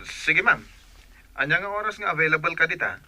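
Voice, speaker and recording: A man's voice: fairly high pitch, lively intonation, fairly quick, pushed and bright, exaggerated voice. An actor in an Ilocano radio drama playing a shy, polite internet repairman who speaks hesitantly and awkwardly with an Ilocano accent. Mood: shy, flustered.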